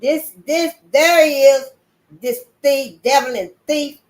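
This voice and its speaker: A woman's voice: on the high side, very animated, fast, pitched above the performer's own voice. A woman voicing a scowling mistress of the house who shrilly accuses a boy of theft. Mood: accusing.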